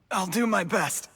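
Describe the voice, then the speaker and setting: A man's voice: sits high, sharp tone, slightly rough, big-room sound, exaggerated voice. An actor playing a meek young man in a video game, apologetic and strained, choking on strong drink. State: coughing, choked up from drink.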